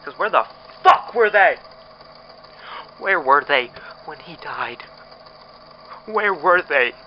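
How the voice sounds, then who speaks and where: An actor playing a frightened, bitter captive test subject recording an escape diary in an audio drama, speaking in a halting, hesitant way. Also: male voice, on the high side, expressive. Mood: angry, anguished. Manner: halting, hesitant, breathy sighs. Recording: muffled lo-fi tape with clear noise.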